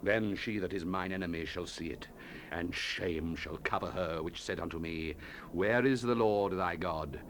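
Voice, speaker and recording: An actor playing a solemn biblical prophet in a dramatized scripture recording, declaiming judgment and lament. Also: male voice, deep voice, moderate pace, close to an everyday voice. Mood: solemn, resolute. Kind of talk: dramatized scripture reading, 1970s audio drama. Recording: hissy 1970s cassette tape.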